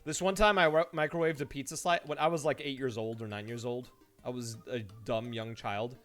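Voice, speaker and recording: A man streaming live, chatting casually to viewers and telling stories in an animated way, acting out his reactions. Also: medium pitch, fairly quick. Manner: animated storytelling, mimics his own reactions; laughs easily while talking. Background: background music.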